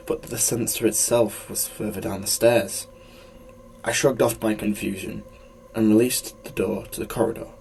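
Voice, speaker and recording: Guy reading a horror story, lowish voice, slight background noise. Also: a little mellow, rough recording. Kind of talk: scripted first-person story narration. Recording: faint background noise, amateur recording.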